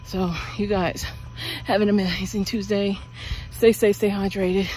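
A woman's voice: medium pitch, level tone, a little slow, darkish tone, slight background noise. A middle-aged woman vlogging while walking outdoors at night, talking in a rambling, chatty way with lots of fillers. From Pennsylvania, US. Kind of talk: casual walking vlog. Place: outdoors on a suburban street at night. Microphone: earbud mic, phone held at arm's length while walking.

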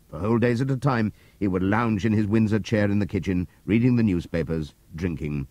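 An older man reading a classic novel aloud as an audiobook narrator, measured and expressive. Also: breathy edge, no room sound. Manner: measured, expressive storytelling with wide pitch swings. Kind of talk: scripted literary narration. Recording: dry close studio read from cassette tape.

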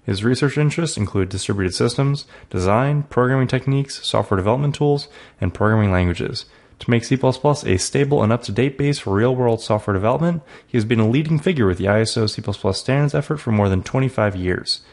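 A man's voice: mid-range voice, fast, a little mellow. A man co-hosting a tech podcast, reading a guest introduction and news items with frequent fillers. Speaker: podcast co-host. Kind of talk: reading intro, casual chat.

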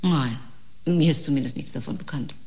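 An actress playing a grave, composed woman doctor in an audio drama, speaking in a measured, clinical way. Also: deep voice, gravelly. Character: grave, composed female doctor briefing colleague.

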